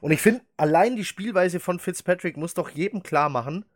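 A man's voice: high-pitched, a little fast, no room sound. A man in his thirties hosting a casual football podcast, chatty and opinionated. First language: German. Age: 30s. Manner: chatty, opinionated, laughs easily. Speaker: podcast host.

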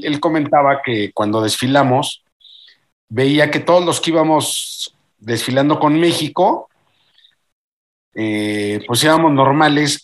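A man with a Mexican Spanish accent in casual chat on a video call, talking in heavy slang and swearing, and acting out other people's words in lively voices. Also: mid-range voice.

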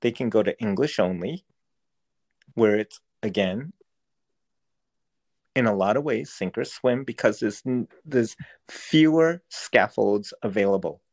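A man's voice: on the low side, expressive, a little slow, long pauses, darkish tone. A man whose first language is Cantonese, speaking as a school district educator in an interview, earnest and often restarting as he searches for words. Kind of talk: thoughtful interview answers.

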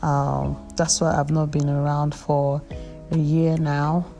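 Low, mellow Nigerian woman chatting. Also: flat delivery, takes it slowly, creaky, faint music behind. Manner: chatty, self-mocking, hesitant with many fillers. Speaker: podcast host. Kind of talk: casual chat.

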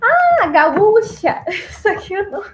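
A young woman from Brazil, chatting casually and laughing often as she tells stories, acting out the people she quotes in a higher voice. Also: very animated, slow pace, a bit bright, roomy sound. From Paraná, southern Brazil. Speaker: guest, former missionary. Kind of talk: casual conversational storytelling.

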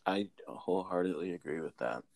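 A man's voice: deep voice, brisk, creaky, a little mellow, dry recording. A man in his late twenties hosting a casual interview, asking friendly questions and agreeing often. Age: 20s. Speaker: interview host. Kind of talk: casual video chat interview.

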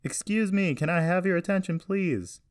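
Man playing a polite young man calling out, pitched up. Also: darkish tone, no room sound, clean studio sound, close to an everyday voice. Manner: polite, projected, measured. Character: calm, polite young man making urgent announcements.

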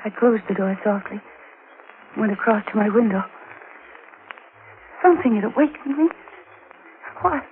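A woman's voice: medium pitch, lively intonation, measured pace, pauses often. An actress playing an anxious wife and mother in her twenties, narrating a 1940s radio suspense drama with breathless, dramatic tension. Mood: uneasy, hushed. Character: anxious 27-year-old wife and mother, alone at night. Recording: noisy muffled 1940s radio recording.

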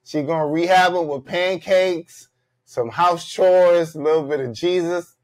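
Casting note: male comedian host, slightly high-pitched voice, relaxed slangy banter. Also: unhurried. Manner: loose, joking, slangy delivery. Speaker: podcast host. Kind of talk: casual comedic chat.

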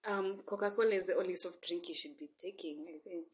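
A young woman with a Kenyan accent chatting casually as a podcast guest, playful and expressive, using slang and bits of Swahili. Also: fairly low pitch, quick pace. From Africa. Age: young adult. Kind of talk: casual chat.

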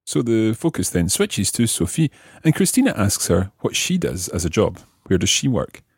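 A man presenting a language lesson, explaining phrases didactically and repeating them deliberately. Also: on the low side, big pitch swings, a little mellow, breathy edge, no room sound, studio quality. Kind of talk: explaining French grammar phrase by phrase.

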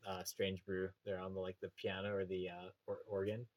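Low-voiced Canadian man, rambling chat. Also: monotone, moderate pace. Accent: Canadian prairie. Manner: rambling, many fillers and restarts. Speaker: teacher. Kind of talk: casual podcast chat.

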